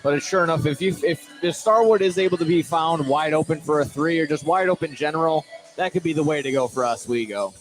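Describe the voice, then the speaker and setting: A male color commentator with a fairly high voice analyses a live basketball game on radio. He is chatty and tends to repeat and rework his points. Music is clearly audible behind him. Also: quick pace.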